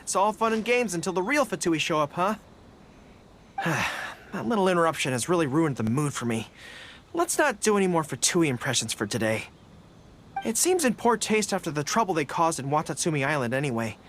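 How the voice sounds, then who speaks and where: A woman voicing a youthful, earnest and modest dog-eared general in a video game, expressive and a little hesitant, with music behind. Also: mid-range voice, brisk, slight background noise, natural voice. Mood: deflated. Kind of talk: scripted game dialogue. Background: background music.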